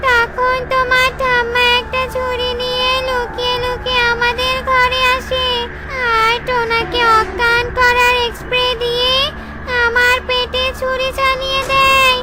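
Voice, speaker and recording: Woman doing super high pitched angry lady ghost, cartoon, slow n threatening, music behind. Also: completely flat delivery. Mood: bitter, grieving. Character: vengeful young woman's restless ghost, wailing and menacing. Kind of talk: Bengali children's cartoon voice acting.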